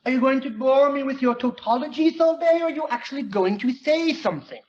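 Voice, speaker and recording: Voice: high-pitched, expressive, brisk, darkish tone, pitched above the performer's own voice. A performer playing a pompous, nerdy teenage genius boy with a precise, superior manner in a dramatic reading. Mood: condescending. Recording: muffled, noisy, echoey room recording.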